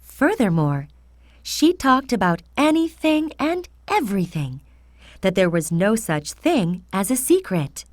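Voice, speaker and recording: Slow, animated woman storyteller. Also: mid-range voice, no room sound. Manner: expressive, deliberate read-aloud. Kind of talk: scripted fairy tale narration for children.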